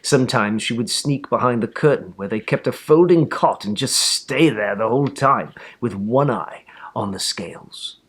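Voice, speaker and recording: Animated man reading a children's story. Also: mid-range voice, normal speed, hardly any pauses, breathy edge. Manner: animated, theatrical emphasis. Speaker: storybook reader.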